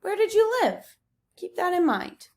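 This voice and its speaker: A woman's voice: very high-pitched, big pitch swings, unhurried, a little mellow. A woman speaking as a teacher during a picture book read-aloud, putting instructive questions to children.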